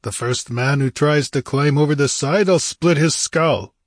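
A man playing a stern, fierce barbarian warrior in a fantasy audiobook, gruff, imperious and challenging. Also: mid-range voice, a little slow, no echo, studio quality, voice pushed up, close to an everyday voice. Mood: commanding, threatening. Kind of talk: dramatic fantasy audiobook character.

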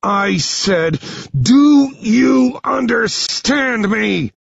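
A man voicing a tough, protective teenage boy in an audiobook, with a forceful, commanding delivery. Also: fairly low pitch, expressive, slow, slightly rough, no room sound, dull audio, full character voice. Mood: commanding, angry. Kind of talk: dramatic first-person audiobook dialogue.

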